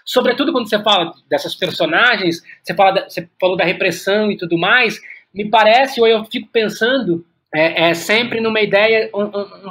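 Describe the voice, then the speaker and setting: A man with a Brazilian accent speaking reflectively as an interview guest, halting and repeating words as he thinks. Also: high voice, a bit bright. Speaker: guest playwright.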